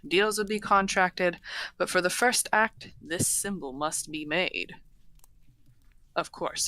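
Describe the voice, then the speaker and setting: A woman playing a courteous, earnest half-dragon broker in a fantasy audio roleplay, speaking formally and with measured eloquence. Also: on the low side, pushed and bright, no room sound, heavily acted. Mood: earnest, patient. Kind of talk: scripted fantasy audio roleplay, addressing the listener.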